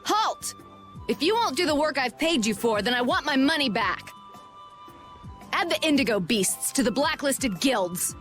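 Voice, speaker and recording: A woman playing a shrewd, confident merchant guild president in a video game, brisk and polished in delivery. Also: sits high, big pitch swings, a bit bright, faint music behind, full character voice. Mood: stern. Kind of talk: video game dub acting.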